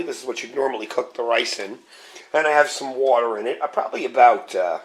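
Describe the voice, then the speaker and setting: Man with a scratchy voice demoing food. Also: medium pitch. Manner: relaxed, explains step by step while handling gear. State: slightly scratchy voice from a cold. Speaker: channel host. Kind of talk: casual cooking demo vlog.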